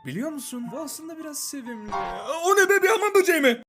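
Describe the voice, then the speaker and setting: Guy doing a fed up cartoon landlord type, high voice jumping all over, music behind. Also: very animated, quick pace. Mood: exasperated. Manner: dramatic, swinging from muttering to yelling. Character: exasperated, dramatic male homeowner scolding his clones. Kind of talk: animated comedy dub in Turkish.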